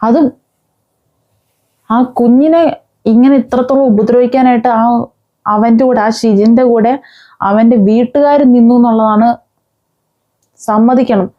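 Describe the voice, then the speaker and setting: A woman vlogger, giving angry, scolding commentary with many pauses and restarts. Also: a little high, fairly even intonation, lo-fi sound. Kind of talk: angry commentary on a news case.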